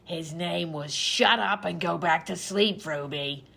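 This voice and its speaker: A woman playing a bossy, sardonic hospital character in a comedy radio sketch, curt and dry, with a gravelly, husky voice. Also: lowish voice, unhurried, fairly bright tone, full character voice. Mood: sardonic, snappy.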